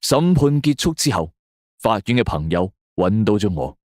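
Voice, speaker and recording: A man's voice: fairly low pitch, big pitch swings, normal speed, darkish tone, dry recording, studio quality. A man narrates a suspense story in the first person, dramatically.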